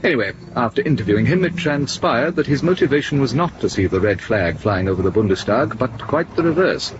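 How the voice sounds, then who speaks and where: A man's voice: mid-range voice, lively intonation, fairly quick, highs cut off, natural voice. A sardonic, urbane secret service officer, acted by a man in a radio play. He is smug and condescending, with widely swooping intonation and a faintly noisy background. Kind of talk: radio drama acting.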